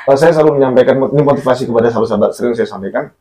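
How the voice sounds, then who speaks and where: A man's voice: medium pitch, very fast, mellow. A man talking in a casual religious chat, blunt and preachy, laughing often. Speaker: content creator.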